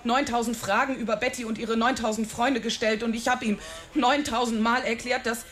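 An actress playing a nervous, chatty young film extra, who stammers and rushes her words in a radio drama. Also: a little high, fairly even intonation, a bit bright, natural voice. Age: young adult. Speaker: witness. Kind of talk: German radio drama acting.

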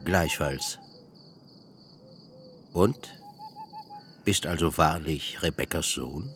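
An actor playing a gruff, suspicious grey-bearded old uncle in a literary radio drama, husky and guarded. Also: male voice, extremely low pitch, drawn-out words, a bit bright, slight background noise, heavily acted. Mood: curt, suspicious.

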